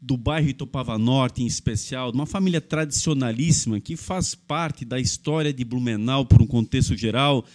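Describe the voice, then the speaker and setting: A man's voice: medium pitch, moderate pace, few pauses, darkish tone. A man hosting a podcast interview, asking long, winding questions in a formal way. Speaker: podcast host.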